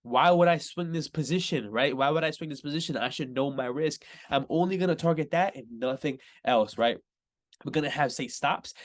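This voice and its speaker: A man's voice: on the high side, brisk. A young man with a slightly congested voice talks through a trading chart in a loose, chatty way. He keeps checking in with "right?" and gets worked up when a setup lines up, sounding like a mentor showing his own trades. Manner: casual, says "right?" constantly, excitable. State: a little congested. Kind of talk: screen-share chart breakdown.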